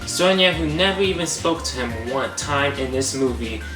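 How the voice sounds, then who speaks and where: A young man hosts a comedic movie review in a sarcastic, exasperated voice of middling pitch. He sounds distant and echoey, with clear guitar music under him. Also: animated, moderate pace. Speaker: host.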